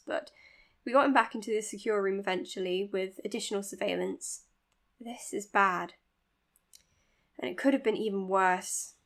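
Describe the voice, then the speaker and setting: A woman's voice: mid-range voice, fairly bright tone, close to an everyday voice. An actress playing an overworked, self-blaming woman doctor in a naturalistic audio drama, speaking precisely, formally and earnestly. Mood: anxious, self-blaming. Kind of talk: naturalistic audio drama, dictated case notes and dialogue.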